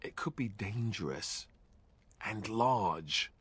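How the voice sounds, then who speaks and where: A man's voice: on the low side, lively intonation, takes it slowly, a bit bright, husky, exaggerated voice. An actor playing a wary, dryly joking young male warrior companion in a fantasy video game.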